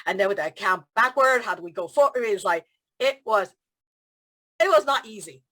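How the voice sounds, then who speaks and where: A woman from Hong Kong, a feng shui consultant chatting as a guest over a video call, telling stories with animated quoted voices and frequent laughter. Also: mid-range voice, brisk. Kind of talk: casual interview chat.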